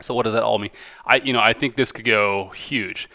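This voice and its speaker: A man's voice: fairly low pitch, fairly even intonation, brisk, vocal fry, sharp tone. A man hosting a casual commentary video, informal and enthusiastic, moving between reading quotes aloud and giving his own slangy take. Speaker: video host, amateur stock commentator.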